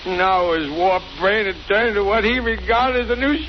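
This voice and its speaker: A desperate, conscience-stricken man acted by a man in a 1930s radio play. His voice is high and anguished, with melodramatic delivery. The sound is dull, with hiss under it. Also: moderate pace, lightly acted. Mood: agitated, bitter. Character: guilt-ridden, frightened, desperate male boat builder. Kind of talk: 1930s radio drama acting. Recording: muffled old radio broadcast with hiss.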